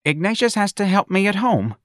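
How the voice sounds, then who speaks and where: A man's voice: sits high, very animated, fairly quick, fairly bright tone, dry recording, studio quality, pitched above the performer's own voice. A man voicing a protective, indignant older working-class mother who scolds and pleads in colloquial speech. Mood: anxious, wavering.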